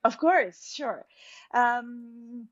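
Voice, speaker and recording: A woman from Romania with a Romanian accent answers as an interview guest, speaking haltingly with frequent pauses. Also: on the high side, dry recording, highs cut off. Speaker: podcast guest, personal branding specialist. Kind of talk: interview answer, recounting personal story.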